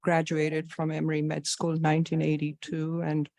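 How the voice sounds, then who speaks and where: A woman in her sixties from Tanzania, a physician making an earnest, halting personal statement and question at a public forum. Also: deep voice, monotone, gravelly, a little mellow, no echo. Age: 60s.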